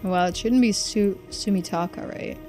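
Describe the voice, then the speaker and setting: A woman streaming a game, reading its text aloud in a measured way with muttered asides and small stumbles. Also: medium pitch, darkish tone, faint music behind.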